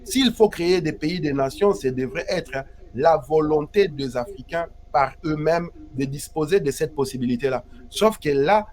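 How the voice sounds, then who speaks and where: A man's voice: on the high side, animated, normal speed, few pauses, darkish tone. A man from the Democratic Republic of Congo, interviewed as an activist, talking emphatically and repeating his key phrases. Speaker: guest activist. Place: Paris.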